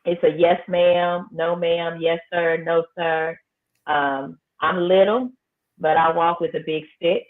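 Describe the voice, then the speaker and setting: A woman's voice: on the low side, fairly even intonation, slow. Firm woman principal, phone-like sound. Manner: emphatic, firm, repeats phrases for effect. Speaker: high school principal, guest. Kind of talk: casual interview talk. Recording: tinny phone-like line.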